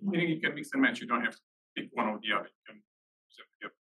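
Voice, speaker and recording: A man's voice: mid-range voice, expressive, very fast, pushed and bright, slightly rough. A man giving an informal technical explanation at a live meetup, rephrasing as he goes. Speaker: technical presenter.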